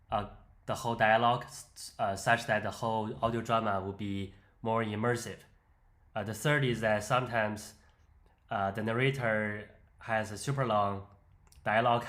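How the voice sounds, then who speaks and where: A man presenting an unscripted software walkthrough, explaining step by step in a hesitant way. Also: lowish voice. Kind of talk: unscripted screen-demo walkthrough.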